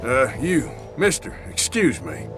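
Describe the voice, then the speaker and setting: An actor playing a friendly American cowboy in an Old West video game, calling out folksy greetings. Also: male voice, medium pitch, huge pitch swings, unhurried, music in the background.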